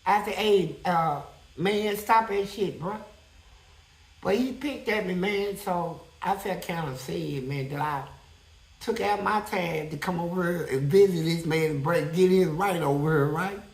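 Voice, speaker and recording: Gravelly man, blunt and profane. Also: a little slow, reverberant, poor audio. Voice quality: high-pitched voice. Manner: blunt, profane, animated storyteller with many "man" and "right?" asides. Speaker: guest telling a prison story. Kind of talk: casual storytelling interview.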